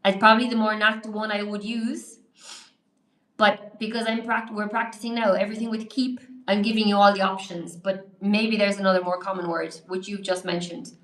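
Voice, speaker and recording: A woman's voice: medium pitch, little pitch movement, moderate pace. A woman teaching English on a live stream, reading out and answering viewers' comments in an encouraging, chatty way. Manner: chatty, encouraging, reacts to chat while typing. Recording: echoey room.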